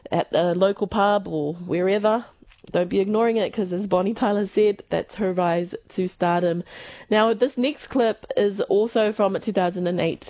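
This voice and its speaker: A woman's voice: medium pitch, darkish tone, no room sound. Woman radio host with dry sound. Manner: casual, conversational links. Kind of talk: radio presenting.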